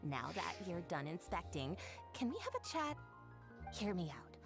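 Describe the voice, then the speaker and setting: A woman's voice: lowish voice, big pitch swings, moderate pace, vocal fry. A woman voicing a friendly, confident female shop owner who speaks in a businesslike way. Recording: game audio with faint music.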